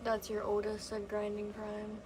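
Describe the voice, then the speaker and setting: Flat-voiced woman reading stream chat. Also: mid-range voice, measured pace, slight background noise, poor audio. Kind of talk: casual chat.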